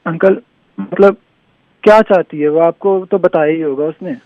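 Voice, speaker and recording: A man's voice: fairly high pitch, normal speed, background noise. A young man on a phone call, rambling in an earnest, aggrieved way. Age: young adult. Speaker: prank call victim. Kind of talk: emotional casual phone chat.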